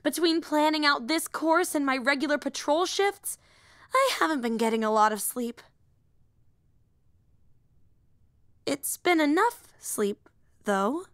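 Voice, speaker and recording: A woman voicing an excitable, nerdy young female superhero in an audio roleplay, earnest and rambling, often apologizing and interrupting herself. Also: high voice, dry recording, clean studio sound. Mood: stressed, tired. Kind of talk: roleplay audio drama acting.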